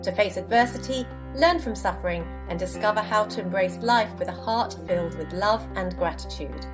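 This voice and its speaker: Announcer: woman, mid-pitched, upbeat scripted intro, loud instrumental music underneath. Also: moderate pace. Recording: voice over loud music bed.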